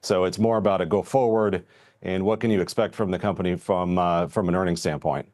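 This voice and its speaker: A man giving market views as a guest in a financial TV interview, measured and conversational. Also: low-pitched, fairly even intonation, darkish tone, no room sound, clean studio sound. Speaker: investment strategist guest.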